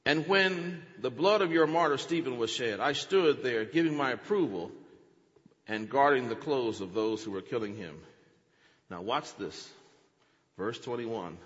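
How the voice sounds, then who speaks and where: A man's voice: medium pitch, unhurried, a bit bright, in a large hall, muffled recording. A man preaching a teaching sermon, dramatic, acting out quotes with big swings in his voice.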